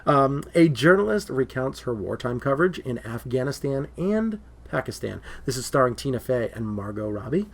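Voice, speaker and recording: A man's voice: medium pitch, sing-song, normal speed, vocal fry, few pauses. Guy cohosting a movie chat show, laughs a lot, says um a ton, voice kinda going. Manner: laughs often, lots of fillers. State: losing his voice. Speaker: podcast co-host. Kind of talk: casual movie talk.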